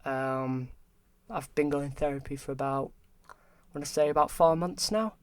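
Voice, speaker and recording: A young man in his early twenties, a podcast guest talking candidly and haltingly, often repeating words. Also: a little high, steady tone, clear, resonant tone, no room sound. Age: early 20s. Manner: halting, repeats words, open about struggles. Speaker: podcast guest, film graduate. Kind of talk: candid conversation.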